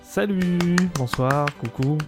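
A man's voice: on the high side, takes it slowly. A man chatting casually as a podcast guest. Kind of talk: casual banter. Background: background music.

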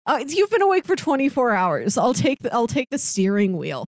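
A woman's voice: a little high, huge pitch swings, normal speed, no echo. A woman co-hosting a podcast, chatting casually about films with her fellow host. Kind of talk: casual film review chat.

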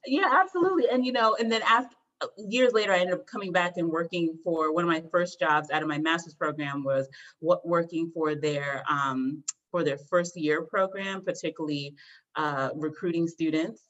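A woman from the Washington DC area, a guest expert speaking in a measured, explanatory way. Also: on the low side, a bit bright, lo-fi sound. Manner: measured, explanatory, some fillers. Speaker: guest expert on student mental health. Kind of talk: interview answers.

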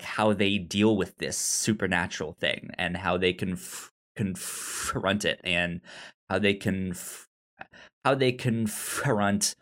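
A man chatting casually as a podcast co-host, halting as he thinks aloud. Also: lowish voice. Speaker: podcast co-host giving recommendations. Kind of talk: casual film discussion chat.

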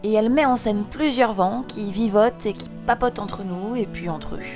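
Female radio host, middle pitch, casual presenter introduction over music. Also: moderate pace. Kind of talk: casual scripted show introduction.

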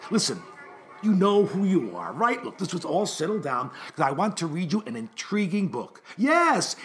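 Guy playing a flustered prim grade school teacher talking to little kids, high voice, super animated, comic stage bit. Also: full character voice. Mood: flustered, self-correcting. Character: fussy, flustered first-grade teacher addressing young children. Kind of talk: theatrical comic monologue.

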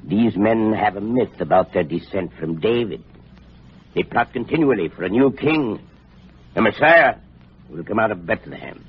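An actor playing a suspicious, treacherous king in an old radio drama, husky and grandiose. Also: on the low side, animated, normal speed. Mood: suspicious, scheming. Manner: grandiose, paranoid, theatrical. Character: suspicious, treacherous Judean king, brooding and menacing. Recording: muffled old radio recording, music behind.

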